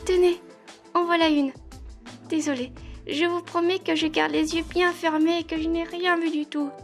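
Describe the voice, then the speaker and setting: A woman's voice: very high voice, steady tone, normal speed, soft background music. A woman playing a young, eager, polite maid in a French roleplay audio drama, hesitant and apologetic, with a stammering formal manner. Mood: flustered, embarrassed.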